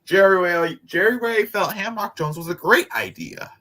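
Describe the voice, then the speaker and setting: A man's voice: high voice, moderate pace, bright, forceful tone. A man reading a book aloud with casual asides, sometimes stumbling over words and repeating them. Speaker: host of a group read-aloud.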